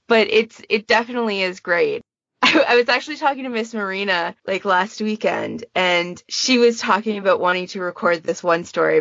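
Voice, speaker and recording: A woman in a casual podcast chat, talking in an animated, self-deprecating and sweary way. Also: mid-range voice, dry recording, dull audio. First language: English. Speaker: podfic creator and podcast panelist.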